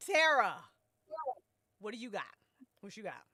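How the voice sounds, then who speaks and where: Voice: medium pitch, sing-song, measured pace, pushed and bright, dry recording. A podcast host chatting casually and off the cuff, effusive and emphatic, with plenty of swearing. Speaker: host and producer of a fiction podcast. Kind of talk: casual, unscripted chat.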